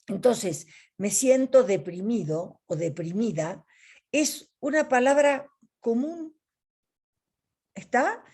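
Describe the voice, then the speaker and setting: Argentine woman, ethics facilitator, unhurried, warm mellow tone. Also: a little high, animated, slightly rough. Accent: Argentine Spanish. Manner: slow, deliberate teaching with pauses. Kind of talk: online ethics class.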